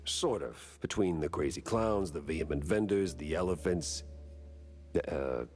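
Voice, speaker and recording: Very deep-voiced noir mouse detective. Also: male voice, measured pace, slight background noise. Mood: dry, weary. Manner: deadpan, sardonic. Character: world-weary cartoon mouse private detective, gruff, wisecracking. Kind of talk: 1930s noir detective acting.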